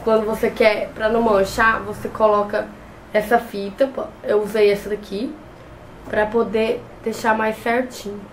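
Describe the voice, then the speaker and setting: A woman's voice: medium pitch, level tone, unhurried, slight background noise, low-quality recording. Young woman with a cold, chatty. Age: young adult. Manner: chatty, repeats words, hesitant restarts. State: has a cold. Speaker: beauty vlogger. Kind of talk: makeup tutorial talk. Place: small bedroom with shelves and a clothes rack. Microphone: camera close in front.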